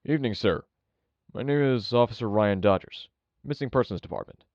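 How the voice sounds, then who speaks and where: Man playing a deep-voiced, animated police officer. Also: a little fast, dry recording. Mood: official, questioning. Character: businesslike male missing-persons police officer. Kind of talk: audio drama interrogation dialogue. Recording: dry, dull close recording.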